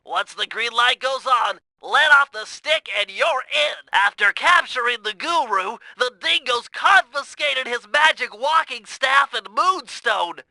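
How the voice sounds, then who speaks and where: A man voicing a nerdy, brainy young turtle strategist in an animated video game, with a thin nasal voice and precise, wordy, earnest delivery. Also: extremely high pitch, measured pace, gravelly, hardly any pauses, dry recording, heavily acted, rough recording. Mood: explanatory, focused. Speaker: mission guide in a video game.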